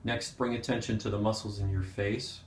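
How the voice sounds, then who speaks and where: A man with a fairly low voice leads a guided meditation in a clearly echoing room. He gives gentle, unhurried instructions and leaves pauses between short phrases. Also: fairly even intonation, slight background noise. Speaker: meditation teacher. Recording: roomy, echoing sound.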